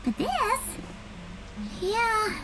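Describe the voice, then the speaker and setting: A woman voicing a small, excitable, childlike fairy companion in a video game. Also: extremely high pitch, drawn-out words, heavy background noise, full character voice. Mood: puzzled. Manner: excitable, talks in third person. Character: small chirpy childlike fairy companion, talks of herself by name. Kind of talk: video game character dialogue.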